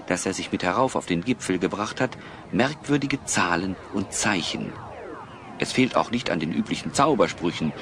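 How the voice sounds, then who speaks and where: A man narrating a German children's audio play as a storyteller, in measured, scripted sentences. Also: low voice. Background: music.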